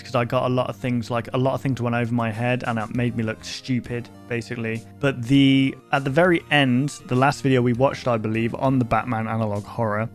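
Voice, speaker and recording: A man hosting a reaction video, thinking aloud in a casual, rambling way with many fillers and restarts. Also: mid-range voice.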